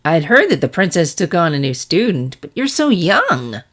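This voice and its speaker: Woman voicing a brash, lively young pegasus mare. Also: on the low side, moderate pace. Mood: curious, playful. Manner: breezy, teasing, energetic. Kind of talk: fan fiction character reading.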